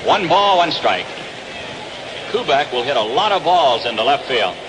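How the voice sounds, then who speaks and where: A man's voice: mid-range voice, big pitch swings, a little slow, background noise. An American man calling baseball play-by-play live on an old 1960s radio broadcast. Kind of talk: live radio sports commentary. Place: open-air ballpark broadcast position.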